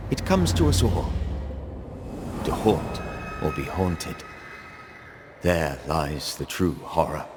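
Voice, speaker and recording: An actor playing a grave, haunted ghostly gentleman who narrates a game story in a solemn, theatrical and measured way. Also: low-pitched, big pitch swings, music in the background, heavily acted. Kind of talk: dramatic scripted narration.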